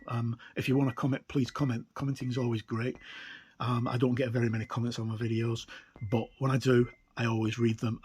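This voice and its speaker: A man giving a casual, unscripted product walkthrough as a video reviewer, talking in a halting, conversational way. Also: medium pitch, a little mellow, slight background noise. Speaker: game reviewer and video presenter.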